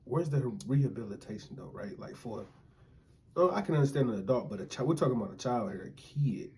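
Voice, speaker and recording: A man's voice: medium pitch, brisk. Man arguing his point casually, faint noise. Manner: reasoning, argumentative, relaxed. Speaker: co-host, husband. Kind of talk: casual chat.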